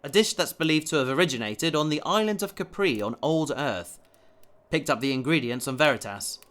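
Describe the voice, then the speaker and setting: Bright-voiced, earnest male spacefarer. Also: on the high side, lively intonation, fairly quick. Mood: patient, gentle. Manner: sincere, slightly awkward, conversational. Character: earnest, determined human spacefarer, kindly and awkward. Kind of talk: science fiction audio drama acting.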